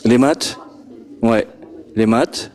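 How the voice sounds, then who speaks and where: A man's voice: medium pitch, drawn-out words, darkish tone. A man interviewing children at a homework-help group on a handheld mic. He stammers, repeats words and chuckles often. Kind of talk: friendly questioning of children. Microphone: handheld microphone passed around.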